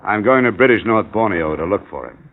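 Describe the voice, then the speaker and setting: Casting: cool freelance investigator, deep voice, terse narration, thin vintage radio sound. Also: male voice, lively intonation, brisk, a little hiss or hum. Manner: terse, businesslike. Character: cool, matter-of-fact male freelance insurance investigator. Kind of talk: 1950s radio detective acting and narration. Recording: tinny vintage radio recording.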